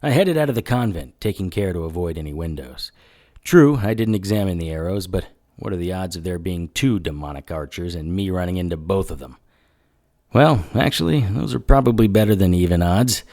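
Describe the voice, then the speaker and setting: An actor playing a wry, hard-boiled monster hunter in a noir audio drama. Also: male voice, sits low, expressive, a little fast, mellow. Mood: grim narration. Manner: wry, sardonic. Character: cynical hard-boiled male monster hunter, wisecracking and crude. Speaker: narrator and lead actor.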